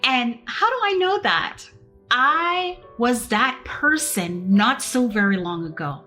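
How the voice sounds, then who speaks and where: A woman's voice: fairly high pitch, lively intonation, pushed and bright, faint music behind. A woman from Jamaica, giving a personal motivational talk in an earnest storytelling manner. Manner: slow, earnest storytelling with pauses. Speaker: motivational speaker.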